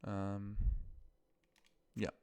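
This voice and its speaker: A man's voice: lowish voice, completely flat delivery, drawn-out words, creaky, a little mellow, clean tone, no room sound. A man thinking aloud in short muttered fragments as he walks through computer work on a screen recording, in an informal way. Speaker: office worker demonstrating a data-entry task.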